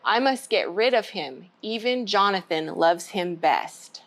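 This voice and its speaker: A woman's voice: medium pitch, animated, a little slow, slight background noise. A woman reading the part of a jealous, angry king in a children's storybook, lightly dramatized.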